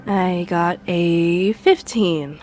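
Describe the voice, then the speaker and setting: Female tabletop player, very unhurried, slightly low voice, faint music underneath. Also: a little mellow. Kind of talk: tabletop roleplay, describing character actions.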